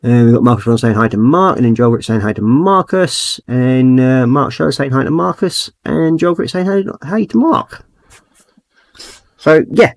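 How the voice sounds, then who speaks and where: A middle-aged man with a croaky throat, chatting easygoing and rambling as he hosts a livestream, reading out messages from chat. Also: mid-range voice, lively intonation, normal speed, no echo. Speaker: livestream host. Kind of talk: casual livestream chat while painting models.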